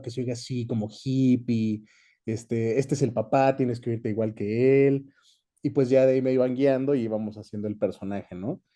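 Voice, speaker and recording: A man's voice: medium pitch, warm tone. A young Mexican man chatting easily as an interview guest, reminiscing about his work in a casual way. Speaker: guest, voice actor.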